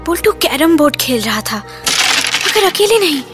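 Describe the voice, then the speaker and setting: A woman's voice: high-pitched, sing-song, normal speed, loud music behind the voice. A woman playing an anxious mother in an audio horror drama, telling her story in a theatrical, alarmed way. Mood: mystified, narrating. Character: anxious Bengali mother recounting a haunting.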